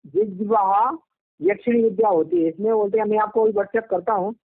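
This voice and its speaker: A man's voice: high-pitched, fairly even intonation, normal speed, no room sound. A man from Madhya Pradesh, talking casually on the phone and rambling, often checking that the listener agrees. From Madhya Pradesh, India. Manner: rambling, often seeking agreement with tag questions. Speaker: ashram devotee. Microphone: telephone. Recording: phone call recording, band-limited.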